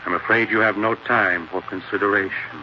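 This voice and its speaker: An actor playing a sly older mortician turned blackmailer, hesitant and politely insinuating, in 1940s radio drama style. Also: male voice, lowish voice, fairly even intonation, a little fast, close to an everyday voice. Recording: dull, noisy 1940s radio recording.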